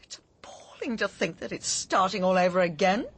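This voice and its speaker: Very breathy, anxious British neighbour. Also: female voice, medium pitch, lively intonation, normal speed. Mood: distressed, fearful. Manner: emotional, earnest. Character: anxious, kindly, apologetic woman neighbour. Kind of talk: naturalistic radio drama acting.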